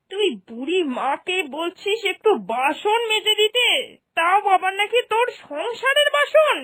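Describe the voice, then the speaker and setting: A woman playing an exasperated, sharp-tongued older mother and mother-in-law in a cartoon moral story, scolding with reproachful questions. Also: extremely high pitch, exaggerated voice.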